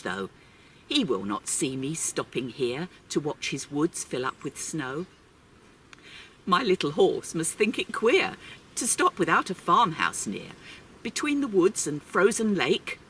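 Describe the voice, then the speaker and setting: A woman reciting poetry in a measured, reflective manner, recorded at a distance. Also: on the low side, fairly bright tone. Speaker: actor reading a poem. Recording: distant mic with faint ambient noise.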